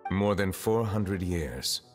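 An actor playing a solemn, courteous, ageless chief justice in a video game, with formal, deliberate, reserved delivery. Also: male voice, deep voice, expressive. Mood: solemn, modest. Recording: long reverb, music underneath.

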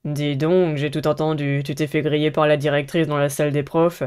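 Man playing an aloof, even-toned teenage schoolboy. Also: a little high, moderate pace, clean tone, natural voice. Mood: mocking, cool.

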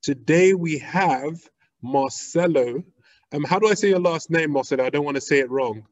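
A young man hosting a podcast interview over a video call, talking in a relaxed, conversational way. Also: fairly high pitch, normal speed, no room sound. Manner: relaxed, conversational, with "you know" fillers. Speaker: podcast host. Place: indoor room with a plain wall. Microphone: video call webcam. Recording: dull dry webcam audio.

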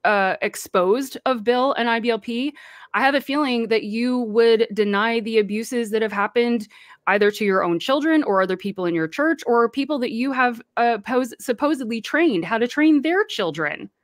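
A woman with a self-described deep voice, speaking as a guest on a livestream panel and calling out critics with passion. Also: normal speed, no echo, clean studio sound. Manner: impassioned, speaks out forcefully.

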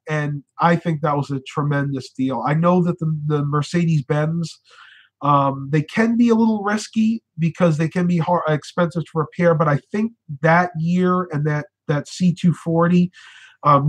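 Casting: young adult American male, slightly high voice, informal livestream host talking casually in a small bedroom. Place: small furnished bedroom, indoors.

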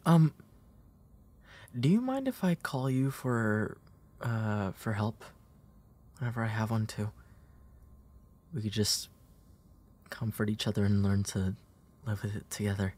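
Casting: male actor for protective big brother, comfort audio roleplay; breathy, husky, tender, hesitant, soft-spoken. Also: lowish voice, animated, unhurried, pauses often. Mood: reassuring, hesitant.

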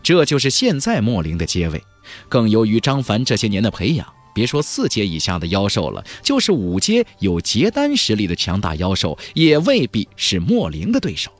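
Very animated male audiobook narrator. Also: fairly low pitch, moderate pace, soft background music. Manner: expressive, emphatic delivery. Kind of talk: dramatic scripted storytelling.

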